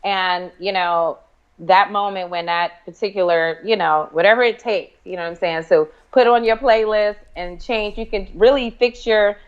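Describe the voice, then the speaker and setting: A woman's voice: medium pitch, moderate pace, clean tone, muffled recording. A woman guest on an interview show, a singer, speaking casually and expressively.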